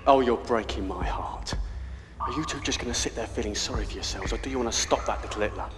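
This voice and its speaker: An actor playing a sneering, hard-bitten London police detective in a video game, forceful, with a London accent. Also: male voice, medium pitch, fast, music in the background, close to an everyday voice. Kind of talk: video game cutscene acting. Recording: long echoing reverb.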